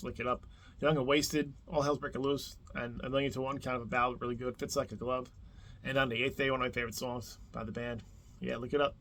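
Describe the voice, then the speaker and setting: A man with a thick New Jersey accent talks his way through a casual countdown of favorite albums. His voice sits at an ordinary middle pitch for a man. He rambles with enthusiasm, stumbling over restarts and fillers as he goes. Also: a bit bright. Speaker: YouTube host.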